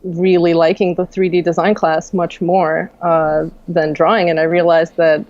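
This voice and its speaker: A young woman from Russia with a lowish, mellow voice, chatting easily as a podcast guest. Her talk is loose, full of "like" and "um", with quick laughs. The sound is dry and a bit dull, lo-fi. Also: no room sound. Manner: relaxed, soft-spoken, many fillers and laughs. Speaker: game designer, guest. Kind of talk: casual podcast interview.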